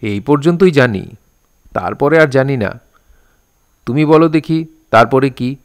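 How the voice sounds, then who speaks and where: A man's voice: mid-range voice, expressive, a little slow, no room sound. A man narrating an audio drama in a formal, literary storytelling manner.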